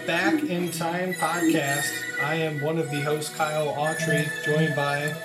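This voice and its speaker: A man's voice: fairly high pitch, flat delivery, unhurried, roomy sound, over loud music. A man hosting a podcast, chatting casually and upbeat with lots of fillers. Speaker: podcast host.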